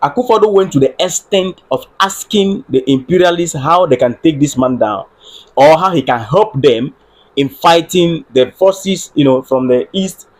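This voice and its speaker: A Ghanaian man hosts a solo live stream, talking through politics earnestly and circling back over his points. His voice is husky and sits a little high for a man. He stops now and then to ask viewers whether his sound is coming through. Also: animated, moderate pace. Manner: earnest, repeats phrases, checks on his audio with viewers. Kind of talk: solo livestream political commentary.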